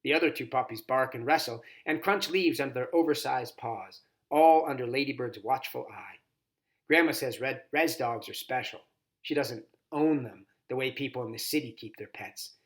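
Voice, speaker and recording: Man, mid-pitched voice, expressive storybook read-aloud, volunteer reader. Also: normal speed.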